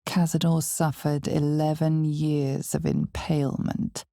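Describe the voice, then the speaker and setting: Ominous, slow, low-voiced fantasy game narrator. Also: female voice, little pitch movement, no echo, clean studio sound. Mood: measured, intrigued. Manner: measured, theatrical, hushed and breathy at times.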